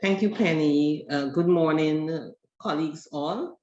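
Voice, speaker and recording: Slow, measured woman researcher, echoey call. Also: low-pitched, darkish tone. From Guyana. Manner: measured, deliberate. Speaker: researcher presenting. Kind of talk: academic webinar presentation. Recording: echoey, dull call audio.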